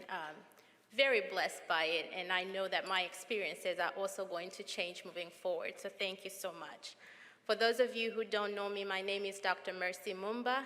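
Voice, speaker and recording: A woman from Zambia, a professor, giving an earnest, measured explanation at a formal ceremony. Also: mid-range voice, sharp tone. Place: large auditorium. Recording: long hall reverb.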